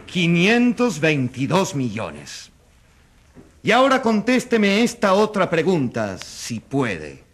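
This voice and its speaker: A man's voice: on the high side, huge pitch swings, slow, a bit bright, a little hiss or hum, heavily acted. A man playing an indignant opposition newspaper editor testifying in a radio courtroom drama, with emphatic, rhetorical delivery. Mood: accusing.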